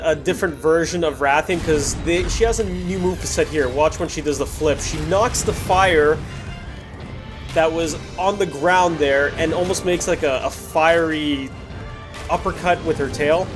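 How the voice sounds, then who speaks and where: A man's voice: on the high side, moderate pace, music in the background. A man hosting a gaming video, giving casual, digressive commentary on gameplay in an apologetic manner. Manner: apologetic, digressive, often backtracking to earlier points. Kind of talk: casual gameplay commentary over recorded footage.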